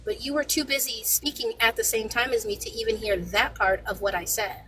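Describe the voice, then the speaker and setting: A woman panelist with a soft voice, speaking firmly and emphatically in a heated debate. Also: on the high side, fairly even intonation, brisk, noisy background. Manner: firm, lecturing, emphatic.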